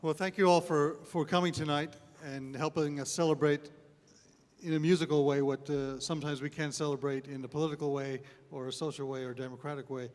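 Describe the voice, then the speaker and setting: A man's voice: on the high side, little pitch movement, fairly quick, a little mellow, a faint crowd. Informal male host in a reverberant hall. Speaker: interviewer hosting an on-stage talk. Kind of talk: informal post-concert stage interview. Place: on stage in a concert hall.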